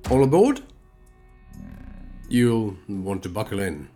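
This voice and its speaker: A man playing a calm, wise alien elder in a science fiction audio drama, speaking in a measured, formal, philosophical way. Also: fairly low pitch, faint music behind. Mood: composed, helpful.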